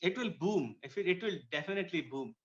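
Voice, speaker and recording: A man's voice: sits high, expressive, fast. A man from Kuwait speaking conversationally as a dermatologist guest in an interview. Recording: dull lo-fi audio.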